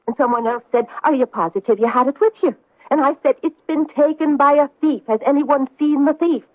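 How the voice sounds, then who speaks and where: An actress playing a feisty, evasive woman in old-time radio drama style, with a lilting, indignant, drawn-out storytelling manner. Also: sits high, no echo. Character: feisty, evasive Irish-American woman reporting a theft. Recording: dry vintage radio recording, muffled.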